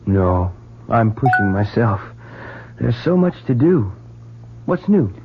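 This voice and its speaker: An actor playing an evasive young student son in an old-time radio drama, casual and slangy in manner. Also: male voice, medium pitch, big pitch swings, slow pace, lo-fi sound, music in the background. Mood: evasive, troubled. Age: young adult. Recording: thin, band-limited old radio recording.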